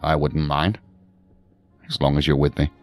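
A senior federal director in a futuristic radio play. His voice is exceptionally deep, and he talks with measured, formal authority. Light music sits underneath. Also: monotone. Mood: tender, solemn. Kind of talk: audio drama acting.

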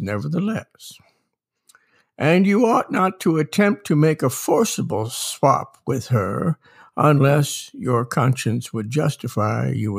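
Wry older male humorist, played by an actor, mock-solemn and drawling, with a swooping pitch and slow pace for a radio reading. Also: gravelly, heavily acted. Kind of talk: theatrical dramatic reading of a comic essay.

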